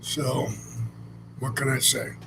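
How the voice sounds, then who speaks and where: A man's voice: medium pitch, completely flat delivery, takes it slowly, noisy background. A man co-hosting a casual chat, telling stories in a blunt, salty, rambling way with frequent restarts. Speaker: co-host. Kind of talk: casual conversational storytelling.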